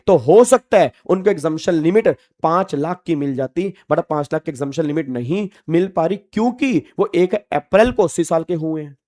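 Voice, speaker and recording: A man's voice: a little high, warm tone. A man lecturing as a teacher, emphatic and familiar with his students, repeating his key points. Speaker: tax law teacher. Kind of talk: classroom lecture mixing Hindi and English.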